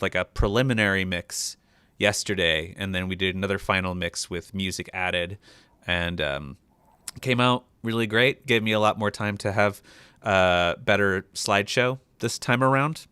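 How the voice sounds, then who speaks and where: Bright, clear low-voiced man. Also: normal speed, no room sound. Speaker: sound editor on an audio drama. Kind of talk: casual discussion of production process.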